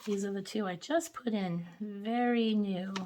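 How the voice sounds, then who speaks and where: A hoarse woman hosting a casual budgeting vlog, chatting and counting bills aloud. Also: medium pitch, unhurried, a little mellow, slight background noise. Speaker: budgeting channel host. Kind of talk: casual cash-stuffing vlog.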